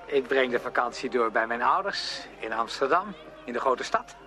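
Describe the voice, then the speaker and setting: A man's voice: mid-range voice, expressive, moderate pace, bright, forceful tone. A man acting a moody, confiding teenage schoolboy in a Dutch radio play. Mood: rueful. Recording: hum and faint noise, radio drama recording.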